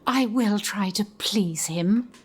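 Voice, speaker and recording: An actress playing a polite, eager and inquisitive new governess in an audio play. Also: female voice, medium pitch, takes it slowly, husky. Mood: polite, curious. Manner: prim, deferential, inquisitive.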